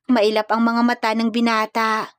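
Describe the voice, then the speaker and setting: High-voiced woman narrating a story. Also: monotone, moderate pace, no echo. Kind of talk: scripted dramatic storytelling.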